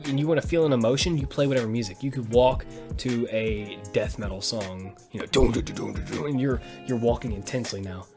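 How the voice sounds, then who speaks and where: A man's voice: medium pitch, fairly quick, creaky. A man hosting a podcast in casual chat, rambling along with slang and plenty of swearing. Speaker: podcast host. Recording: music playing behind the voice.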